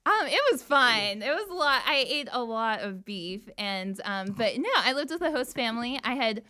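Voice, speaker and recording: A woman's voice: on the high side, expressive, normal speed, pushed and bright, clear, resonant tone. A giggly young woman, chatting on air as a radio intern. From Tennessee, USA. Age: 20s. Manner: giggly, bubbly, laughs between answers. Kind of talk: casual on-air chat.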